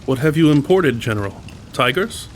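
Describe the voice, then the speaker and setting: A man's voice: on the high side, lively intonation, moderate pace, a little hiss or hum, lightly acted. A man playing a polite big-game hunter, an inquisitive guest, in an audio drama. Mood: curious, puzzled. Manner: courteous, inquisitive. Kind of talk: full-cast audio drama acting.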